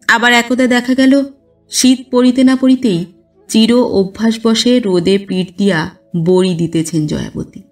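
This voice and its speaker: A woman reading a classic literary story as an audio narrator, in a measured, expressive storytelling manner. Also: mid-range voice, distant mic. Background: background music.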